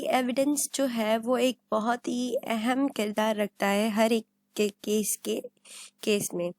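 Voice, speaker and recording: A woman with a Pakistani accent giving an explanatory law lesson as host, halting, often repeating phrases and mixing in English terms. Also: on the high side, darkish tone, dry recording. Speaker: law lecturer and channel host.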